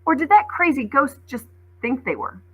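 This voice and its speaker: A woman's voice: sits high, big pitch swings, moderate pace, a bit bright, voice pushed up. A woman voicing a practical, helpful young boy in a storybook, earnest and inquisitive. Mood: puzzled.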